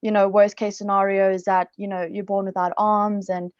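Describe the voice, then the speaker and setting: An upbeat woman from South Africa chats as a podcast guest, filling her sentences with "you know" and "um." Her voice sits at an ordinary pitch, close and dry, with a slightly dull, lo-fi sound. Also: flat delivery, normal speed, no room sound. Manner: chatty, upbeat, many fillers and restarts. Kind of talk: casual interview talk.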